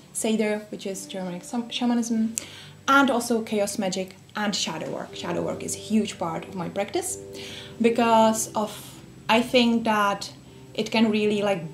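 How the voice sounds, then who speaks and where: A young woman from the Czech Republic with a Czech accent, giving a friendly, casual talk to a small group with plenty of fillers and restarts. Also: mid-range voice. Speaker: speaker giving a workshop talk. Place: room with a group of listeners.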